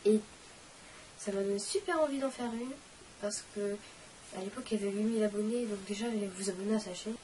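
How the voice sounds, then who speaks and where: A woman's voice: mid-range voice, fast talker, dark tone, far from the mic, a little hiss or hum, poor audio. A teenage girl with a cold, chatting in a rambling, self-mocking way while hosting a casual question-and-answer vlog. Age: teens. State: has a cold, coughing. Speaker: channel host.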